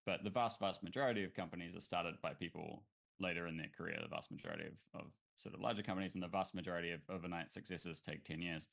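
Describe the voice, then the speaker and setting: A man with a New Zealand accent, a startup founder talking casually in an interview, relaxed and thoughtful with many fillers and restarts. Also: low voice, quick pace, creaky.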